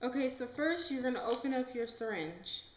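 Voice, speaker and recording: A young woman playing a calm nurse in an amateur educational puppet show, explaining things plainly and reassuringly. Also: sits high, a little fast, a little hiss or hum. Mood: instructive. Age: young adult. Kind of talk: amateur scripted educational dialogue. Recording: echoey amateur room recording.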